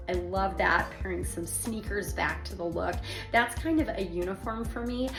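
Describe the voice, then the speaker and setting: A woman hosts a relaxed style vlog from her home, her voice raspy and hoarse from a week-long cold. She talks in a chatty, upbeat way straight to her viewers, at a mid pitch, with faint music playing underneath. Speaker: style vlogger and show host. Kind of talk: casual friendly wardrobe vlog.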